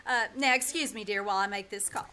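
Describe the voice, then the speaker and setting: A woman playing a wry, quick-witted schoolteacher who delivers dry quips in old-time radio comedy style on a live stage. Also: mid-range voice, sharp tone, natural voice. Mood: dry, sly. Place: live stage in a small-town theater. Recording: faint noise, live small theater stage.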